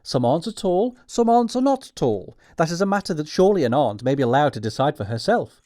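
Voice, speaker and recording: An actor playing an earnest, easily flustered young gentleman, proper and emphatic, with an upper-class English accent. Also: a little high, sing-song, fairly quick. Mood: defensive, exasperated. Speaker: actor in an audiobook production. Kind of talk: stage comedy acting.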